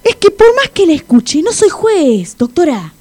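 A woman's voice: on the high side, normal speed, warm tone, natural voice. A woman playing a brusque, exasperated law officer in a comic audio drama.

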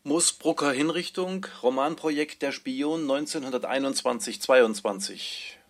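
A man's voice: mid-range voice, unhurried. A man with a mid-range voice serves as announcer. He reads scripted headings in a plain, factual way, like entries from an archive. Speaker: announcer of chapter and draft titles.

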